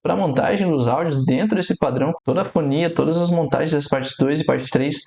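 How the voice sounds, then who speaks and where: A Brazilian man with a slightly higher voice walks through exam errors as an aviation English teacher. He explains step by step and slows down to quote radio phrases carefully. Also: vocal fry, a little mellow. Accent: Brazilian Portuguese, no fixed regional accent. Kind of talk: instructional explanation.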